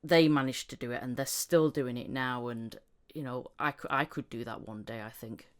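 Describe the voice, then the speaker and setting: A woman's voice: extremely low pitch, moderate pace, smooth. A woman hosting a casual interview, talking in an encouraging, reflective way. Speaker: podcast host.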